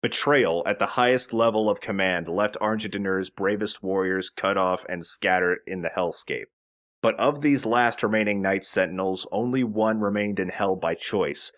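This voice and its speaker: A man's voice: lowish voice, normal speed, fairly bright tone. A man giving casual, chatty commentary as he explains a video game. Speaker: let's play host.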